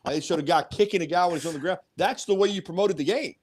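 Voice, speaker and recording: A man's voice: high-pitched, fast talker, a little mellow, breathy edge. A middle-aged or older man giving conversational sports commentary, emphatic and anecdotal, repeating phrases for effect.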